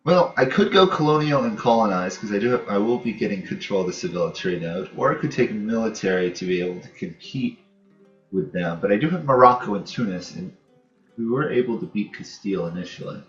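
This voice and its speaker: A man with a low voice gives casual gaming commentary, musing aloud as he goes. The recording is dull and roomy, with game music playing behind him. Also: animated, brisk. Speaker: host of a gaming channel playing a strategy game. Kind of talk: casual let's-play commentary.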